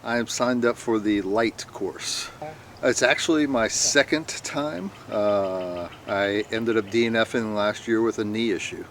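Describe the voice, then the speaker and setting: Calm low-voiced man from Texas. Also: measured pace, a little hiss or hum. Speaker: bikepacking racer. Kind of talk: casual interview answers.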